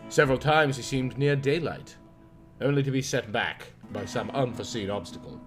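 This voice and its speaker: A man reading a literary horror story as an audiobook narrator, in a measured, formal delivery. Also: medium pitch. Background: guitar music.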